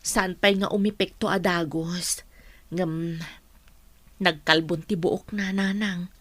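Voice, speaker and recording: A woman's voice: on the low side, fairly even intonation, takes it slowly, a bit bright, no echo. A woman playing a caring, burdened middle-aged mother in an audio drama. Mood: concerned, patient.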